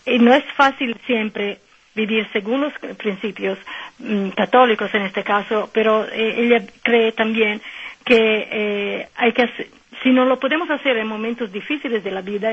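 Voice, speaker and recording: Italian nun on a muffled phone line. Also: female voice, on the high side, slightly rough. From Italy. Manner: hesitant, many fillers, searching for words. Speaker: nun and guest. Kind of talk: radio phone interview.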